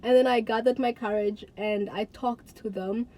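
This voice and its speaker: A young woman of eighteen from Tanzania talks straight to her viewers in a casual vlog. Her voice sits a bit high but sounds soft and mellow. She speaks earnestly and chattily, often repeating words for emphasis. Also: steady tone, moderate pace, rough recording. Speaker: vlogger. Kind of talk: casual talk to camera.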